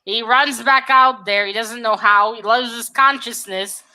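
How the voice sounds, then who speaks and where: A woman's voice: fairly high pitch, steady tone, measured pace, bright, forceful tone, rough recording. A woman in a casual book discussion, retelling the story emphatically and jumping in as co-host.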